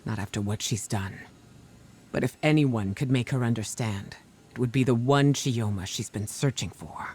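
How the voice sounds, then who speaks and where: Man as mid-pitched, resolute game ally. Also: a little mellow, breathy edge, close to an everyday voice. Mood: resolute, grave. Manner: serious, measured. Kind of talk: video game mission briefing dialogue.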